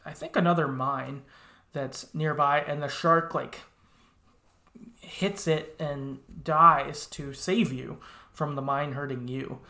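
Male podcast host. Creaky voice, easygoing conversational recap, slightly hesitant phrasing. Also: on the high side, sharp tone. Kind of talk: casual chat recapping a game.

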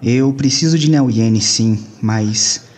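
A man's voice: mid-range voice, slow, a little mellow. A man acting in an audio drama as a jaded, slangy hacker loner in a cyberpunk city. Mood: cool, urgent. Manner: brooding, slangy. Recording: music behind the voice.

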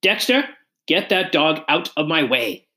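Haughty upper-class mother acted by a female reader, imperious, agitated, raised high pitch, swooping delivery, storybook character. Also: big pitch swings, moderate pace. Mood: imperious, angry.

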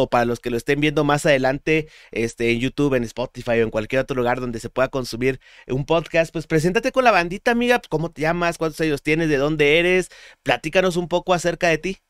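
Laid-back Mexican podcast host, slangy. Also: male voice, a little high, clean tone, dry recording, clean studio sound. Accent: Mexican Spanish. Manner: relaxed, slangy, many fillers. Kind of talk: casual interview chat.

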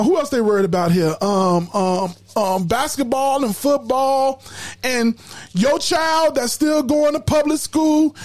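A woman hosting a podcast in casual, colloquial talk, emphatic and preachy, repeating words when fired up. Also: sits high. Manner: colloquial slang. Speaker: podcast host.

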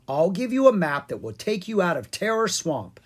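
A grumpy pumpkin-head king from a children's storybook, acted out by a narrator, pitched up and swooping with every boast. He is huffy, theatrical and full of his own importance, with a husky edge to the voice. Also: very animated, moderate pace. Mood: proud, bargaining. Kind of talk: animated children's storybook character reading.

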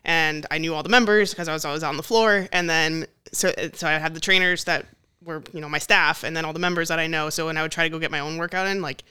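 A woman with a bright, smooth and crisp voice talks candidly in a casual podcast chat. She thinks aloud as she goes, and the close mic sounds dry and dead, with no room around her. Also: deep voice, fairly even intonation, quick pace, no echo. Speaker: podcast guest, competitive weightlifter.

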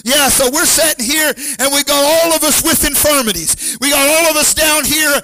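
A man's voice: very high voice, moderate pace, pushed and bright, a little hiss or hum, low-quality recording. A man preaching a fervent revivalist church sermon in a sing-song exhortation, breaking his phrases with emphatic "uh" beats and praise exclamations.

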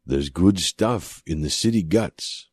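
A man's voice: deep voice, lively intonation, takes it slowly, a little mellow, breathy edge, no room sound, clean studio sound, heavily acted. A man voicing a confident, falsely brotherly male scrapper ringleader in a science fiction audiobook, with a cajoling, familiar manner. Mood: reassuring.

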